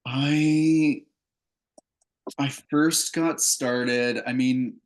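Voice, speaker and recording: A man's voice: medium pitch, steady tone, extremely slow. A Canadian man answering interview questions casually, as a filmmaker, in an earnest, reflective way. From Calgary, Canada. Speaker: filmmaker and actor, interview guest.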